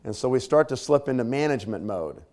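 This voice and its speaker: Male trainer, mid-range voice, a bit scratchy, relaxed and conversational while motivating a room. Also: mid-range voice, fast. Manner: conversational, casual fillers and tag questions. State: slightly scratchy voice, clearing throat. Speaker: speaker at a leadership training. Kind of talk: motivational talk to a live audience.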